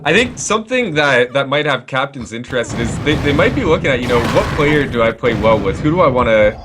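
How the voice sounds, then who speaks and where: A man giving relaxed, joking analysis as color commentator for an online match. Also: medium pitch, a little fast, background noise. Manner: relaxed chatty analysis with jokes.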